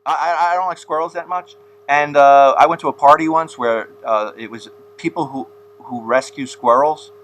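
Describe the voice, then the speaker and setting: A man's voice: a little high, normal speed, few pauses, a bit bright, a little hiss or hum, rough recording. A man hosting a casual, rambling interview, telling stories and laughing at his own jokes. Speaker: podcast host.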